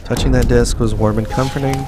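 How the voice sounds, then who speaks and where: A man hosting a let's play, reading in-game notes slowly and evenly between short casual asides. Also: medium pitch, steady tone, music in the background. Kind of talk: casual gameplay commentary and reading notes aloud.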